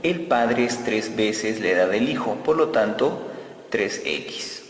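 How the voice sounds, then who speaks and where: A man's voice: on the low side, lively intonation. A man teaching an algebra lesson step by step, repeating key phrases as he works through the problems. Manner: slow, repeats key phrases while explaining. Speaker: math teacher. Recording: echoey room with long reverb.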